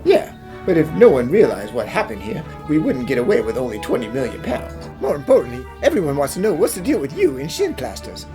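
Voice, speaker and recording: Woman acting a very deep, swooping bank executive. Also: big pitch swings, fairly quick, music in the background. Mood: brisk, relieved. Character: brisk, self-assured bank executive. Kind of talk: animated mystery fan dub acting.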